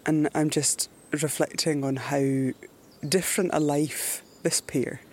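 A woman with a Scottish accent narrating a river journey outdoors in a reflective, unhurried way. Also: low voice, steady tone, darkish tone, slight background noise. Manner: musing, unhurried. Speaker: adventurer presenting a journey.

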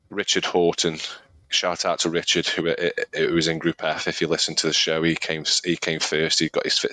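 A man's voice: sits low, pushed and bright, no room sound, rough recording. A man co-hosting a podcast, chatting casually and rambling with restarts. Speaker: podcast co-host, amateur runner. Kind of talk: casual chat.

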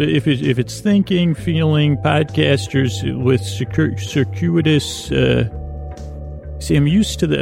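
A man with a creaky voice hosting a sleep podcast, speaking in a lulling, meandering, halting monologue that circles back on itself. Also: mid-range voice, darkish tone, dry recording, studio quality. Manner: lulling, soothing tones. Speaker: podcast host. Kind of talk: meandering bedtime monologue to help listeners sleep.

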